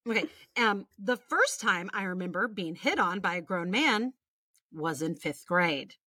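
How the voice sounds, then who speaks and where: A lively American woman with a bright, forward voice, recorded close and dry. She co-hosts a casual podcast, reading stories with animation and dropping in irreverent, sweary asides. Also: on the low side, unhurried, no room sound. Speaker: podcast co-host.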